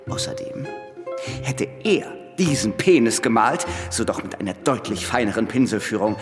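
An actor playing an imperious, sardonic master painter in a radio drama, with a pompous, scornful delivery. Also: male voice, fairly low pitch. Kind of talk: German radio drama acting. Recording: long hall reverb. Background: faint music.

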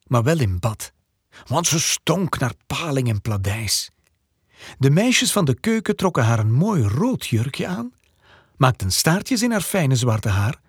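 A man narrating a children's radio play as a storyteller, playful and expressive. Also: on the high side, breathy edge, no echo, studio quality.

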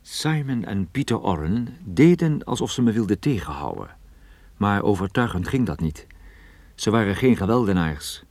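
An actor playing a cool private detective narrating his own case in measured radio play style. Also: fairly low pitch, expressive, a little mellow. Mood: measured, grave. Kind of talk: scripted first-person radio play narration.

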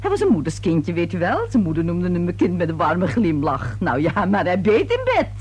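Husky, swooping gossipy neighbour woman. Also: fairly low pitch, huge pitch swings, normal speed, darkish tone, heavily acted. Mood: confiding, chatty. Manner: chatty, insinuating, confiding. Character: gossipy, twice-married older neighbour woman, nosy and self-important. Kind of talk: Dutch radio play acting.

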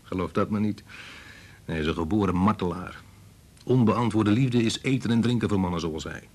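An actor playing a cynical, pushy husband and scientist in a radio play, sardonic and dismissive. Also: male voice, lowish voice, moderate pace, lightly acted. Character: cynical, pushy biologist husband and father, belittling. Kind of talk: Dutch radio play acting.

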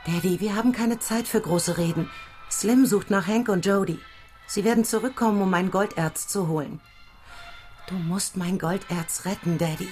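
A woman's voice: medium pitch, moderate pace, a little mellow, faint music behind, natural voice. Casting: woman for scheming sweet-talking femme fatale, mid-range voice, urgent, radio crime play. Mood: pressing. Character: wily, coaxing young femme fatale. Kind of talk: German radio crime drama acting.

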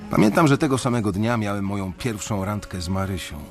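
A young man narrating a radio drama in the first person, measured and brooding. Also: on the low side, soft background music. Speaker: narrator and main character.

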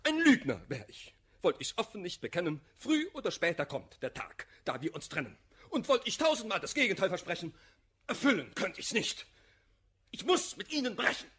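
Passionate, quarrelsome lover in a verse radio play; husky, highly animated, declamatory. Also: male voice, moderate pace, heavily acted. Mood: reproachful. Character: jealous, hot-tempered, lovesick gentleman, bitter and passionate. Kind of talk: classical verse comedy radio play acting.

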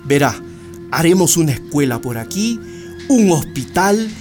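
A scheming company manager in a radio drama. The voice is low and theatrical in its rises and falls, wordy and flattering, with music behind it. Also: female voice, unhurried. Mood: oily, boastful. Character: smooth-talking, scheming male mining company manager. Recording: music under the voice.

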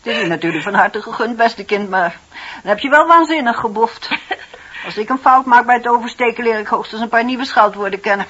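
Dry, sceptical woman, husky-voiced. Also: on the high side, fairly bright tone. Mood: ironic. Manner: dry, ironic, measured questioning. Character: dry, sceptical woman confidante, teasing and unimpressed. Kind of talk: Dutch radio play acting. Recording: dull, lo-fi radio play recording.

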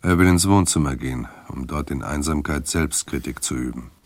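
Detective drama narrator, bass-deep voice, sardonic, ironic, scripted storytelling, dry close studio sound. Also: male voice, moderate pace, no room sound.

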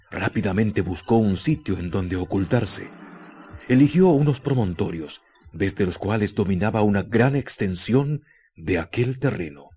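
A man narrating a scripted radio drama with deliberate, dramatic phrasing. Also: lowish voice, dark tone.

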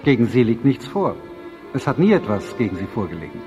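An actor in a radio play playing an emphatic official announcing a decision. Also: male voice, mid-range voice, sing-song, a little fast, close to an everyday voice. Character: emphatic official declaring a suspect cleared. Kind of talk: German radio play acting. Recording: clear music behind the voice.

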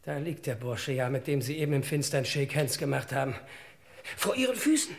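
A man's voice: medium pitch, expressive, a little fast, vocal fry. An actor playing a wry, chatty detective's sidekick in an old radio crime play, deferring to his boss in dryly ironic, stilted phrases. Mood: dry, puzzled.

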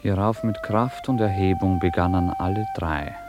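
A man's voice: deep voice, normal speed. A man narrating a literary radio drama from a script. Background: music.

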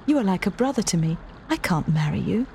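A woman's voice: lowish voice, very animated, fairly quick, creaky, darkish tone, slight background noise. A woman voicing an earnest, troubled, plain-spoken young woman in an audiobook story. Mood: earnest protest.

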